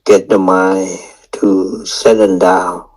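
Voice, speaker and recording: Slow, low-voiced man guiding meditation. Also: flat delivery. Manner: unhurried, gently repetitive, with long pauses. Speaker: meditation teacher. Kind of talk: guided meditation instruction.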